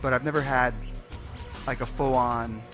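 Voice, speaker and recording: A man chatting casually on a podcast panel, telling anecdotes in a halting way with repeated words. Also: mid-range voice, steady tone. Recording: music clearly behind the talk.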